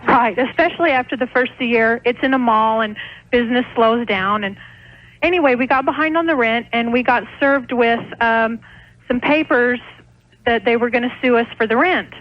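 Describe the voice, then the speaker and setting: High-voiced American woman phoning a radio show. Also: moderate pace, dry recording. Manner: hesitant, rambling explanation. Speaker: caller, small restaurant owner. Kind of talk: unscripted phone-in conversation. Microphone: telephone. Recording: dull lo-fi radio phone call.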